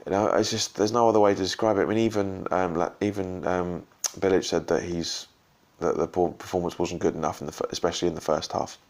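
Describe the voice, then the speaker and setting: Casual fan vlogger, male, very low voice, hoarse from shouting the night before, rambling delivery. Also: fairly even intonation, fast talker, hardly any pauses. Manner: rambling, full of ums and restarts. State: slightly croaky from shouting and drinks. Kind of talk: casual post-match reaction vlog.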